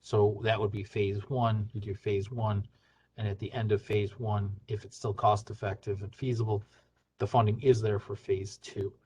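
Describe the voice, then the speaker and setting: A man's voice: lowish voice, level tone, moderate pace, darkish tone, slight background noise. A man on a video call answering questions on a webinar, talking through the topic loosely with many fillers and restarts. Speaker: state hazard mitigation staff presenter. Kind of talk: webinar Q&A explanation.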